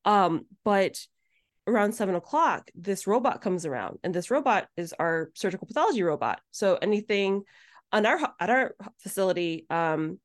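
A woman's voice: medium pitch, expressive, moderate pace. A woman hosting a podcast in a casual, conversational interview style. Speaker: podcast host.

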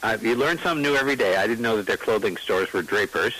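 A man in his sixties or older reminisces casually as a radio panelist. His voice is a little low and he talks at a quick clip. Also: animated, pushed and bright, rough recording. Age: 60s or older. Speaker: radio talk show panelist.

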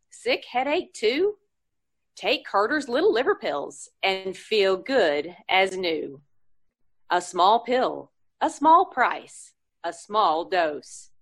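A chirpy 1940s radio advert pitchwoman, acted by a woman, sells in sing-song patter. Her pitch swings widely and her pace is slow. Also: heavily acted. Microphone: video call.

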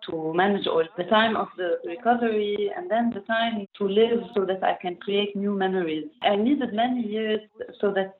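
Woman, French accent, translator, hesitant, fillers, tinny call audio. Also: medium pitch. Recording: tinny internet call line.

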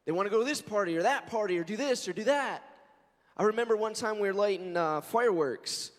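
A man in his forties, a pastor, preaching a sermon in an earnest, conversational way and telling stories, his voice hoarse and scratchy. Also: high voice, animated, quick pace. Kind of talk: sermon with prayer and scripture reading. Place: church sanctuary. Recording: long reverb of a large church hall.

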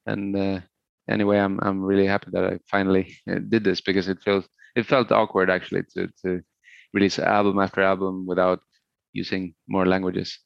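A middle-aged man from Sweden, a native Swedish speaker, talking haltingly and thoughtfully as a musician in a casual interview. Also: low-pitched, completely flat delivery, vocal fry, no room sound, muffled recording. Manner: hesitant, halting, thoughtful, searching for words.

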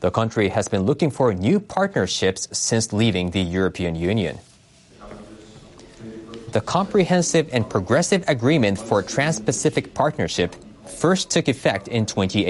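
A man delivering scripted news narration as a newsreader. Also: fairly low pitch. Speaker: news reader.

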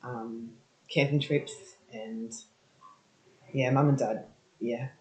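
A woman's voice: rumbling low, fairly even intonation, slow pace, warm tone, muffled recording. Chatty Australian woman in a roomy space. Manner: chatty, laughs often, many ums and yeahs. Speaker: guest. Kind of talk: casual interview talk. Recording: roomy echo.

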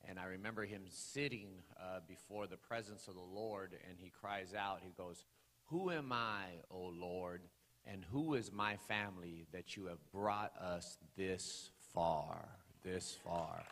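A man in his forties, from the Los Angeles area, preaching his testimony to a congregation in a church sanctuary, talking loosely with many fillers and rising into loud preaching bursts. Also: low voice. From Los Angeles area, California. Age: 40s. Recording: long hall reverb.

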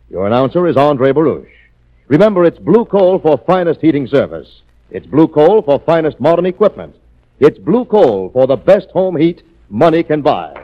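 American male announcer, 1940s radio: slightly high, animated, formal and emphatic, muffled vintage sound. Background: music.

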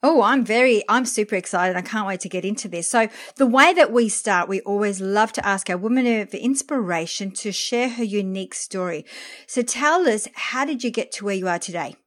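A woman's voice: medium pitch, lively intonation, fairly quick. A woman hosting a podcast, reading an upbeat scripted intro and asking enthusiastic interview questions. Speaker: podcast host.

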